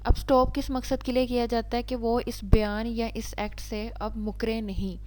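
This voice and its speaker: Pakistani lady lecturer, soft mellow tone, bit high pitched, explains stuff step by step. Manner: didactic, rephrases each point step by step. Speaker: law teacher. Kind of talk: explanatory lecture, switching between reading definitions and explaining.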